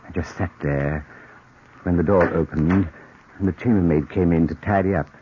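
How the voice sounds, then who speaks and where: An actor playing a desperate middle-aged murderer who narrates his crime in hushed, brooding tones, in 1940s radio acting style. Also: deep voice, normal speed, a little hiss or hum, lightly acted. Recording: tinny old radio recording.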